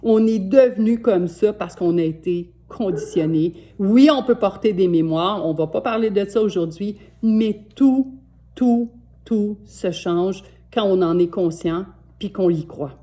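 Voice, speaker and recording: Québécois woman coach, sixties, mellow warm tone, earnest and repetitive. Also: medium pitch, measured pace. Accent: Québécois French. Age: 60s. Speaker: life coach. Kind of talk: motivational self-help talk to camera. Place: home.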